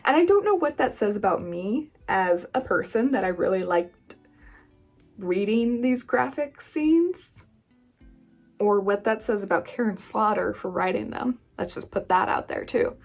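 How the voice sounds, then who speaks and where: A woman's voice: medium pitch, lively intonation, measured pace, soft background music. A woman hosting a book vlog, talking in a casual, chatty style with informal, emphatic asides. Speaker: book reviewer and channel host. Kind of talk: casual chatty video monologue.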